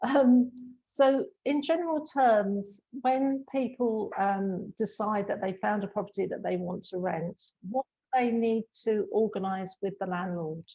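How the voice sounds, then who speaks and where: Husky woman interviewer, muffled sound. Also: medium pitch, measured pace, hardly any pauses, mellow. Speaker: interviewer, host. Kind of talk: informal interview hosting.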